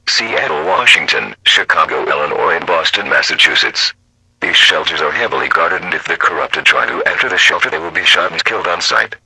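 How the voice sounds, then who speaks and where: A man with a formal, robotic, deliberate delivery, reading a scripted emergency broadcast announcement. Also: deep voice, bright, forceful tone, husky, poor audio.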